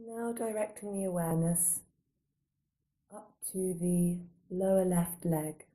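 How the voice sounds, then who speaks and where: Very mellow, soft-toned woman guiding meditation. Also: fairly low pitch. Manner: calm, unhurried, gentle phrasing with long pauses. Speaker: meditation guide. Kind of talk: guided body scan meditation, slow soothing instruction.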